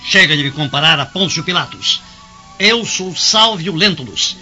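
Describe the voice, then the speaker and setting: Sharp, indignant Roman husband. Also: male voice, a little high, lively intonation, moderate pace. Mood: bitter anger. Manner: declamatory, emphatic. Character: indignant Roman husband and father, proud, bitter. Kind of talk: Brazilian radio drama acting. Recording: muffled band-limited audio, faint music behind.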